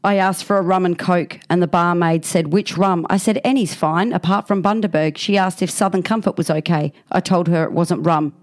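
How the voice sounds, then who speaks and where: A woman's voice: lowish voice, normal speed, hardly any pauses. A woman co-hosting a chat podcast, reading out stories with frequent laughter and acting out bouncers. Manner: laughs often, acts out bouncers in gruff quotes. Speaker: podcast co-host.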